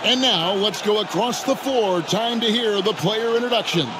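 A man's voice: sits high, normal speed, heavy background noise, natural voice. A man playing a grand, enthusiastic TV basketball announcer in a video game. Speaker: play-by-play sportscaster. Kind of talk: broadcast sports commentary.

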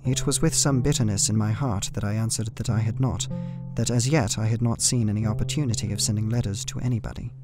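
A man's voice: lowish voice, steady tone, quick pace, few pauses, close to an everyday voice. An actor playing an earnest, uneasy young English solicitor, narrating his journal formally in a radio play. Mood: dutiful, uneasy. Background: plucked guitar music.

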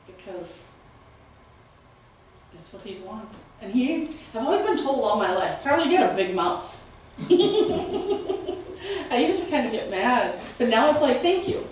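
A middle-aged woman preaching a sermon in a small church room, with a big, carrying voice and lively gestures, recorded without a microphone. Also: fairly high pitch, unhurried, long pauses, slight background noise. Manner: loud voice that carries, animated and gesturing. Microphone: far camera, no microphone. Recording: very echoey room, voice heard from a distance.

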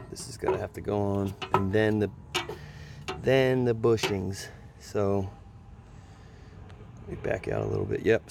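A man's voice: lowish voice, vocal fry, noisy background. A man casually talking through a hands-on build, explaining it step by step in informal, colloquial words, recorded outdoors on a handheld phone. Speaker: pressure washing hobbyist showing a build. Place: outdoors on a concrete patio. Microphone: handheld phone behind the camera.

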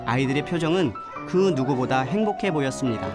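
A man narrating a travel documentary in a gentle, reflective storytelling voice. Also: medium pitch, lively intonation, fairly quick. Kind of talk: scripted voice-over narration. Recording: voice-over with music behind. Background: background music.

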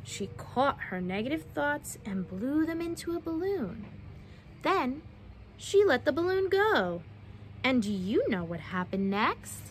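Young woman, highish voice, expressive storytime delivery, questions to kids, swooping pitch, outdoor recording. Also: a little slow, a little hiss or hum, rough recording. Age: young adult. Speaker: children's book read-aloud presenter. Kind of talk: animated read-aloud for young children. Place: outdoors on a wooden backyard deck.

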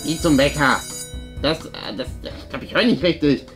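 A man's voice: fairly high pitch, moderate pace, over music, natural voice. A man playing a prissy tattletale schoolboy in a comedy sketch, quoting rules in a pedantic, stammering way. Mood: indignant, officious. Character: prissy tattletale schoolboy, officious rule-quoter.

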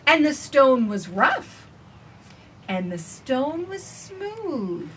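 A woman in her forties reading a picture book aloud for children with expressive, sing-song phrasing, recorded outdoors on a porch. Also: sits high, extremely slow. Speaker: children's storytime reader. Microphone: camera a few feet away. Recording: distant camera mic, faint outdoor noise.

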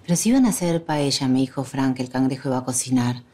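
A woman's voice: rumbling low, animated, fast talker, mellow. An actress with a Rioplatense Spanish accent plays a woman refusing insistently in a hotel bedroom. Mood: tired. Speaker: actress in a TV comedy series. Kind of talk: TV comedy drama acting.

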